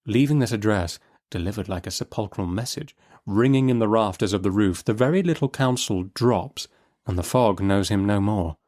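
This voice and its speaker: A man's voice: lowish voice, normal speed, darkish tone. A man giving a dramatic, literary audiobook narration. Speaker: narrator and host.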